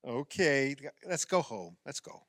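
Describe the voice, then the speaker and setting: A man's voice: medium pitch, sing-song, a little slow, a bit bright, no echo, clean studio sound. A man playing a sardonic husband with wounded pride in a comedic radio play, sarcastic and dramatic. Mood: competitive, flustered. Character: sardonic husband, sore loser at backyard basketball.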